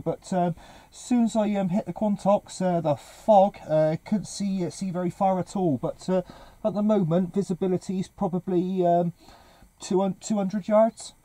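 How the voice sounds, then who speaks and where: Mellow man on CB radio, higher-pitched. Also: no echo. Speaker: amateur CB radio operator. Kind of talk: casual CB radio net chat with radio jargon. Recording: voice over CB radio.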